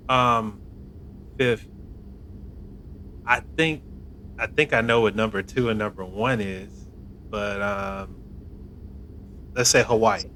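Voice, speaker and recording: A man's voice: on the low side, takes it slowly, pauses often, bright, forceful tone, slight background noise. A man co-hosting a podcast in casual chat, guessing hesitantly and joking around. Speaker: podcast co-host.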